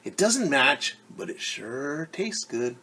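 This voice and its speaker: A man's voice: medium pitch, big pitch swings, takes it slowly, sharp tone, husky, full character voice. A man voicing a spirited, defiant little girl in a picture book read aloud, with bouncy emphasis. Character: spirited little girl who proudly mixes things up.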